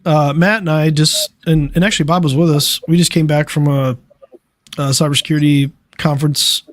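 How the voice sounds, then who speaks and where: A man hosting a casual tech podcast, tired and dealing with allergies, talking in a loose, relaxed way. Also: a little high, brisk, dry recording, clean studio sound. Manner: relaxed, loose, many fillers. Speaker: podcast host. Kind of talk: casual chat.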